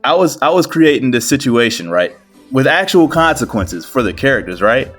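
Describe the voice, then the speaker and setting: A man's voice: medium pitch, very animated, moderate pace, a bit bright. A man giving casual advice as a video creator, talking in a slangy, self-deprecating way full of "bro" and "man". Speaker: video creator giving game development tips. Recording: music behind the voice. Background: background music.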